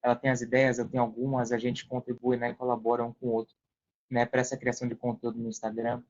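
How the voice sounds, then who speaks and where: A man's voice: mid-range voice, monotone, quick pace, a little mellow, lo-fi sound. A young Brazilian man talking casually as an interview guest, explaining things and often tagging on questions. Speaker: podcast guest, online affiliate marketer working in support.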